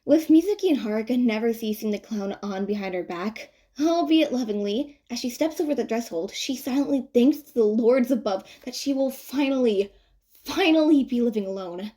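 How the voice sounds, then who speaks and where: A woman reading fan fiction as an audiobook narrator, expressive and conversational with playful emphasis. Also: fairly high pitch, moderate pace. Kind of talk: expressive scripted story narration.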